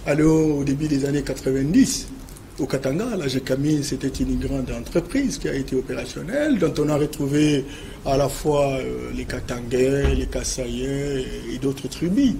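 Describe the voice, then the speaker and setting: Male guest, steady explanatory debate talk, gravelly tone. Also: mid-range voice, a little hiss or hum. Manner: measured, explanatory, uses verbal fillers.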